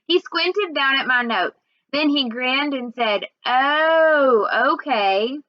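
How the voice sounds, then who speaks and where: High-voiced woman reading a story aloud. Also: measured pace, no room sound, muffled recording. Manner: expressive, acts out dialogue. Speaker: reader. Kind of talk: read-aloud storytelling of a children's novel.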